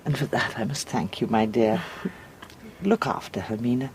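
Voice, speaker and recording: A woman playing an ailing, worried older mother in a gothic audio drama, genteel, halting and confiding. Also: extremely low pitch, lively intonation, darkish tone, a little hiss or hum.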